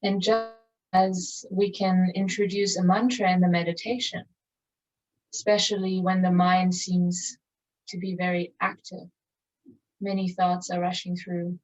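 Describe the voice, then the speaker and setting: A woman leading a guided meditation in a calm, contemplative, measured manner with long pauses. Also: lowish voice. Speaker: meditation teacher. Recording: distant mic, dull muffled sound.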